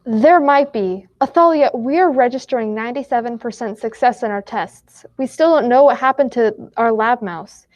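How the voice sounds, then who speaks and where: A woman's voice: a little high, moderate pace, few pauses, darkish tone, close to an everyday voice. A woman playing a cautious, worried young scientist in an amateur radio play, earnest and warning. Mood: concerned.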